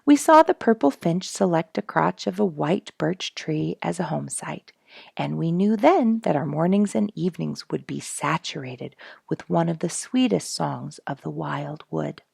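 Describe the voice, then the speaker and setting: A woman with a low, heavily creaky voice reads a nature memoir aloud as a storyteller. Her delivery is expressive and suited to families, leaning on key words for dramatic effect. The recording is dry and close, with very little room sound. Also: unhurried, no room sound. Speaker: storyteller reading a book aloud.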